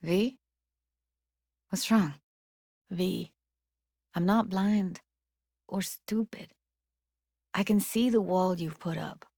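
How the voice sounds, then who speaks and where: Casting: young female techie for a game, lowish voice, blunt, guarded, streetwise, naturalistic and intimate, dry close recording. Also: takes it slowly, vocal fry, long pauses, darkish tone, slightly rough, dry recording, heavily acted. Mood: hurt, searching. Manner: casual, clipped, slangy, intimate delivery. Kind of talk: naturalistic video game dialogue acting.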